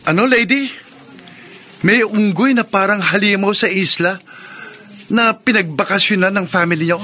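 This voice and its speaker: An actor with a Filipino accent playing a worried, devoted young boyfriend in a horror radio drama. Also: male voice, sits high, normal speed, bright, forceful tone, a little hiss or hum.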